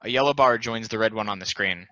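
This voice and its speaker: A man's voice: on the low side, fast talker, pushed and bright. A man reading scripted stage directions as narration at a table read. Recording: dull, lo-fi close dry recording.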